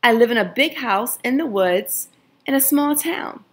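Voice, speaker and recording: A woman teacher reading a picture book aloud to children, gently addressing her young listeners and asking them questions. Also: unhurried. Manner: expressive, lively pitch swings on questions.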